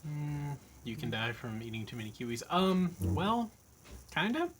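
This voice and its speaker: A man bantering casually beside a livestream host, dry and deadpan. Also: a little high, unhurried, a little hiss or hum. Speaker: host's partner off to the side.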